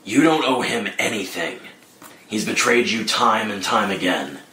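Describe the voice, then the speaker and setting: A man playing a stern, bitter masked vigilante, speaking with forceful indignation in a dramatic screenplay read. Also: on the low side, expressive, a little slow. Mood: bitter anger. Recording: roomy sound with music underneath.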